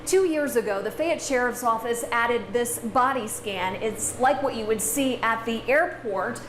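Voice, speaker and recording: A woman reporter presents on location in a fairly high voice. The sound is roomy, with clear background noise. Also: measured pace. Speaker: TV news reporter.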